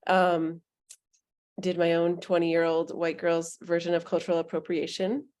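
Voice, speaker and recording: A woman's voice: on the low side, level tone, a little slow, a little mellow. A woman hosting an online public meeting over a video call, upbeat and chatty with some ums. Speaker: meeting host.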